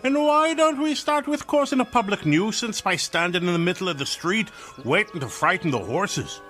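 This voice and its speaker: High, blustering old-time cop. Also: male voice, animated, moderate pace, pushed and bright, soft background music. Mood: scolding. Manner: scolding, threatening, pompous. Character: blustering, bossy old-time police officer. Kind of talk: period radio drama acting.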